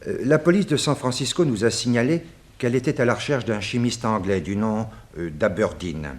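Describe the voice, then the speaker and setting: An actor playing an earnest, worried police chief in a French radio drama, speaking in a deliberate, slightly hesitant way. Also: male voice, on the low side, natural voice. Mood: earnest, confiding. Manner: deliberate, hesitant, matter-of-fact.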